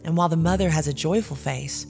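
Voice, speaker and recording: A woman narrating a scripted audio drama in a measured, evocative storytelling way. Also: low voice. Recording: clear music bed underneath.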